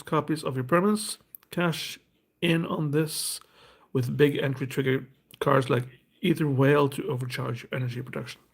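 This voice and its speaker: A man's voice: on the high side, vocal fry. A man, hosting a casual unboxing and reading card text aloud in a hesitant, thinking-aloud way. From Norway. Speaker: host.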